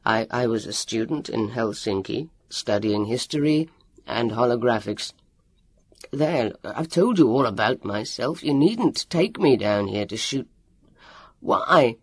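A man acting a panicked young male captive, gravelly. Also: mid-range voice, animated, measured pace, pitched below the performer's own voice. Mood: frightened babbling. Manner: yelling, babbling, pleading. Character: tall brawny young man, frightened, desperate captive student. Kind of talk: audiobook character voice.

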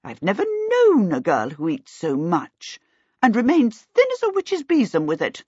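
A woman's voice: medium pitch, wildly swooping pitch, measured pace, dark tone, breathy edge, no room sound, muffled recording, heavily acted. A woman voicing a bossy, rich older aunt who gives commands in an audiobook. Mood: imperious. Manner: commanding, decreeing. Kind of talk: audiobook character voice.

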